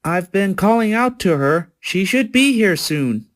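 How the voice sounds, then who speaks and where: A man's voice: high voice, animated, a little slow, noisy background. A man voicing a confident young male pony sheriff in a fan comic dub, take-charge in manner.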